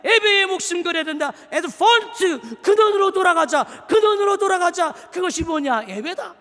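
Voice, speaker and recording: A pastor from Seoul in his forties preaching a sermon, swinging from shouted exhortation to hushed, confiding asides. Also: very high-pitched, expressive, moderate pace. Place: church sanctuary. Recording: reverberant church hall.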